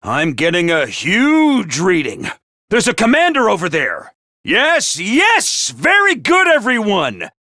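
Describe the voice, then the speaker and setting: Super high manic mascot commander for a game, bright sharp voice, slow drawn out lines. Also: male voice, huge pitch swings, no room sound, studio quality, exaggerated voice. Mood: excited urgency. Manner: hyper, theatrical, gleeful and taunting. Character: manic, mischievous small male academy mascot commander. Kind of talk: game voice acting.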